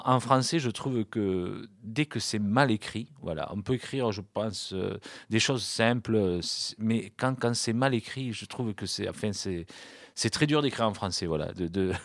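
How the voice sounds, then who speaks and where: Very deep-voiced man, hesitant and dry. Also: dry recording. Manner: hesitant, many restarts and fillers. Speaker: singer-songwriter guest. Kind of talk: casual interview talk. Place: small studio.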